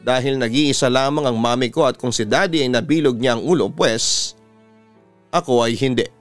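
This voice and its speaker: Man voicing a sulky grieving young son, smooth-voiced. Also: mid-range voice, normal speed, faint music behind, natural voice. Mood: resentful. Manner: curt, sulky, mixing English and Tagalog.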